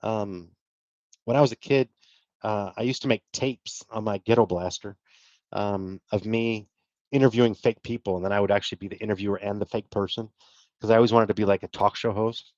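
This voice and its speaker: A man's voice: fairly low pitch, steady tone, brisk, darkish tone, dry recording, muffled recording. A middle-aged man hosting a livestream, chatting casually and rambling as he answers viewer comments. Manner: rambling, self-deprecating, with fillers and laughs. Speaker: livestream host.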